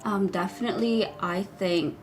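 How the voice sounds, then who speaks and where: A young woman from South Korea, speaking softly and reflectively as a fashion designer in a documentary interview. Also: medium pitch, fairly even intonation, moderate pace, music in the background.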